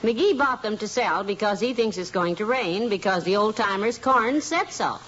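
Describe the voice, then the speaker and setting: A woman playing a patient, sensible wife who chides her husband briskly, in a 1950s radio sitcom. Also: medium pitch, animated. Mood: chiding. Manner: gently chiding, brisk. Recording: muffled old radio broadcast with audience.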